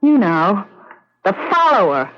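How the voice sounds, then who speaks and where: An actress playing a bitter, hard-drinking rich wife in a 1970s radio drama, sardonic and suspicious. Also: female voice, mid-range voice, very animated, measured pace. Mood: mocking. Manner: sardonic, needling. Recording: dull lo-fi vintage radio recording.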